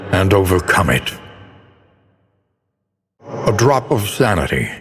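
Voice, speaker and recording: Very deep, slow, brooding gothic narrator. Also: male voice, big pitch swings, fairly bright tone, full character voice. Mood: grave, stately. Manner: solemn, ornate, theatrical delivery. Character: grave, brooding male narrator of a gothic horror game. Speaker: game narrator. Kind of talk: scripted dramatic video game narration. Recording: long cavernous reverb.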